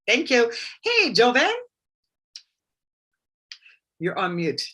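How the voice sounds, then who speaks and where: A woman's voice: fairly high pitch, wildly swooping pitch, extremely slow, pushed and bright, slightly rough, highs cut off. A woman hosting an online networking webinar, upbeat, calling on guests one by one. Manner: upbeat, calls on guests by name. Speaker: webinar host. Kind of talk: casual online networking meeting.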